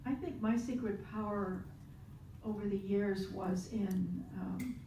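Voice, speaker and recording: Gravelly woman in her seventies. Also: medium pitch, steady tone, slow, warm tone, slight background noise. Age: 70s. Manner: reflective, with restarts. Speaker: panelist. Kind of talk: panel discussion. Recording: roomy hall audio from far off.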